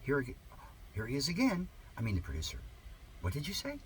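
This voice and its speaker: Theatrically sarcastic man with lively pitch swings. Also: a little fast, slight background noise, poor audio. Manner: theatrical mock-earnest, exaggerated emphasis. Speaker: reader of a satirical article. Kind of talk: sarcastic satirical monologue reading.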